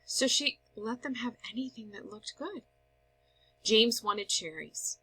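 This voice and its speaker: A woman reading a story aloud as scripted narration, with expressive, dramatic emphasis. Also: a little high, a little slow, slightly rough, poor audio. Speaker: story reader.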